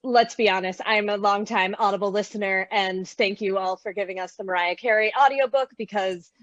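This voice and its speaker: A woman's voice: medium pitch, fairly even intonation, fairly quick, a bit bright, no echo, muffled recording. A woman moderating a video panel interview, addressing guests in a conversational hosting style. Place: online streaming video conversation.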